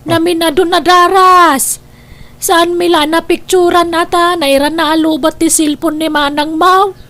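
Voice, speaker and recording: A woman's voice: very high-pitched, fairly even intonation, unhurried, a bit bright. A woman with an Ilocano accent playing an older, scheming mother in an audio drama, insistent and scolding with her grown children. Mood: suspicious, persuasive. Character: scheming, nagging older mother, possessive of son's money.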